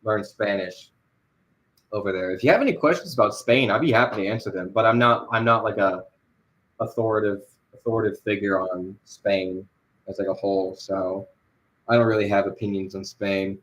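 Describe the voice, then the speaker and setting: A man's voice: on the low side, brisk, fairly bright tone, slight background noise, rough recording. A young man chatting casually on a livestream while he animates. He rambles, with lots of fillers and restarts.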